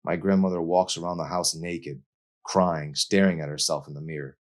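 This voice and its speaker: A man's voice: low-pitched, fairly even intonation, moderate pace, lightly acted. A man voicing a guilt-ridden, earnest, defensive teenage boy in an audiobook. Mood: heavy, confessional.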